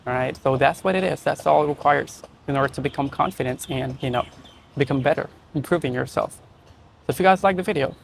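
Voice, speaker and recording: A man's voice: medium pitch, a little fast, creaky, slight background noise. A young man with an Indian accent, hosting his own channel and talking casually to camera, often checking in with "right?" and "you know". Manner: conversational, frequent "right?" and "you know" fillers. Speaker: channel host.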